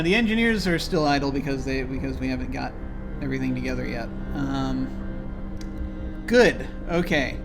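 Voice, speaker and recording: A man casually thinking aloud while playing a strategy game, halting and chuckling to himself. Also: mid-range voice. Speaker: gameplay commentator. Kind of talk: casual let's-play commentary.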